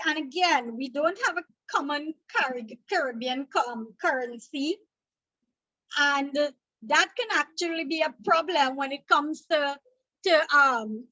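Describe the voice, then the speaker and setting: A woman's voice: high voice, sharp tone, no room sound, rough recording. A woman from Trinidad and Tobago with a Trinidadian accent, teaching an exam revision lecture, explaining points step by step and checking in with "okay?".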